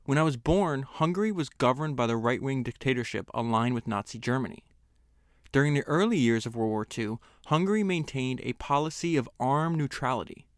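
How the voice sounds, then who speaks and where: A man with a smooth, resonant voice hosts a podcast. He reads a book passage and comments on it in an earnest, measured way. The sound is dry and close, with no room echo. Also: medium pitch. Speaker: podcast host. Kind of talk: reading a book excerpt aloud and commenting on it.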